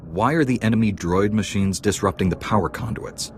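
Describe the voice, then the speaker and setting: An actor playing a calm, resolute knightly hero in a video game, speaking directly. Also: male voice, fairly low pitch, a little fast, a little hiss or hum, lightly acted. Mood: composed. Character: resolute, composed male Jedi knight hero.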